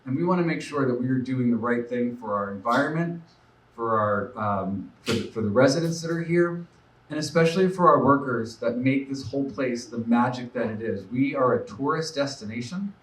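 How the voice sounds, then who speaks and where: A man's voice: mid-range voice, expressive, normal speed, distant mic. A man in his forties speaks earnestly at a campaign fundraiser, thinking aloud with plenty of fillers and restarts. He stands a little way off from the microphone, and the room gives his voice a roomy echo. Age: 40s. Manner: earnest, off-the-cuff with many fillers. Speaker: political candidate. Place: room at a live event.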